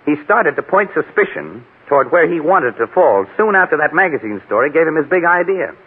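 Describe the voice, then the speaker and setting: An American actor playing a shrewd, confident news photographer detective, brisk and wry, in old radio crime drama style on an old recording. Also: male voice, on the high side, big pitch swings, husky, narrow, tinny audio. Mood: knowing, probing.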